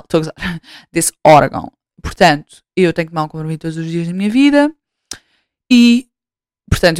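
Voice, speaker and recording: A woman's voice: lowish voice, clear, resonant tone, dry recording, studio quality. A woman in her twenties with a European Portuguese accent, hosting a podcast in casual chat, rambling, swearing freely and mocking herself. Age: 20s. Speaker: podcast host.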